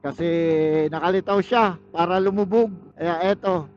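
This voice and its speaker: A man's voice: high-pitched, moderate pace. Filipino man vlogging through a dull helmet mic. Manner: chatty, jokey. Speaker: motovlogger. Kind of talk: casual vlog chat to viewers while riding. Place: outdoors on a moving motorcycle. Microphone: helmet intercom used as wireless microphone. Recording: dull helmet intercom mic, vehicle noise. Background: faint vehicle and road noise.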